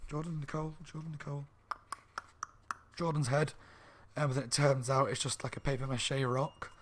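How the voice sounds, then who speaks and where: A slightly hoarse man reviewing a book in a rambling, casual chat at home, with lots of ums and restarts. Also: mid-range voice, level tone, moderate pace, a little hiss or hum. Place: room at home by a window on a main street.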